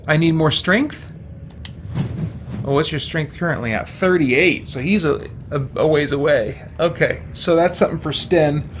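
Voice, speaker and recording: A man's voice: on the high side, unhurried, slight background noise. A man streaming a video game, chatting casually and thinking aloud in a rambling, musing way. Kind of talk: casual livestream chat over gameplay.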